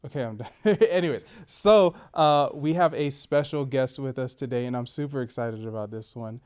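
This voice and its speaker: Upbeat young man with a smooth voice. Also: medium pitch, moderate pace. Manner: upbeat, energetic, laughs often. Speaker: podcast host. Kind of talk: enthusiastic podcast hosting.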